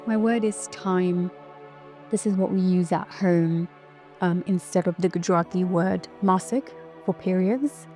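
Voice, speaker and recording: A woman explains things plainly in a low, mellow voice. Soft music plays faintly underneath. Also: unhurried. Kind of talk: personal testimony for a video.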